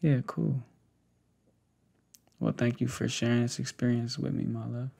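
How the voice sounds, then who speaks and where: A young American man speaks in a soft, conversational ASMR style. His voice is heavy with vocal fry and sits at an ordinary male pitch. He takes his time, pausing and hesitating as though confiding in one person close by. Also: flat delivery. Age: 20s to 30s. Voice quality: gravelly, fry-laden. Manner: unhurried, hesitant, pausing talk addressed intimately to one listener. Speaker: ASMR creator. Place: small indoor setup with cloth backdrop. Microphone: close desktop condenser mic with pop filter.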